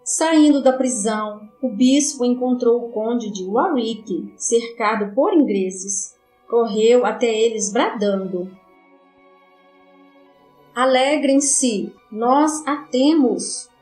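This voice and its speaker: A woman narrating an audiobook in the first person, in a measured, solemn reading. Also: on the high side, dark tone, soft background music. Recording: roomy echo.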